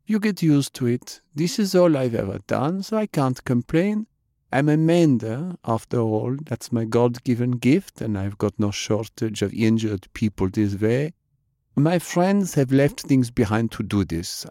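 A man voicing a bookish young woman healer who speaks calmly and matter-of-factly. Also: medium pitch, unhurried, no room sound, studio quality, voice pushed up. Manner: calm, matter-of-fact. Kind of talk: audiobook character voice.

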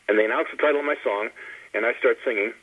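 A man speaking as a panelist in a casual roundtable, telling anecdotes in a rambling way and repeating words as he goes, over a phone line. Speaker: panelist, long-time filk musician. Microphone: telephone call. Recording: tinny phone line, husky low fidelity.

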